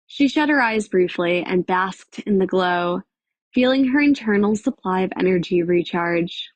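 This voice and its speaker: A woman narrating a dramatic audiobook in a measured, expressive storytelling manner. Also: medium pitch, dry recording. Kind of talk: scripted dramatic fiction narration.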